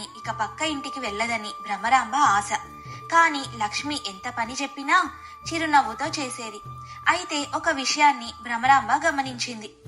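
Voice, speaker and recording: A woman's voice: high voice, bright, forceful tone. A woman narrating a scripted moral bedtime story as the storyteller.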